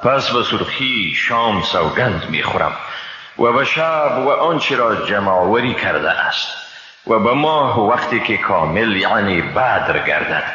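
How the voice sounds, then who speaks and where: A man with an Afghan Dari accent reads a scripture translation in a solemn, measured, formal declamatory style. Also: on the low side, animated, pushed and bright. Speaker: Quran translation reader. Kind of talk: solemn scripted religious reading. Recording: echoey hall reverb, slightly dull sound.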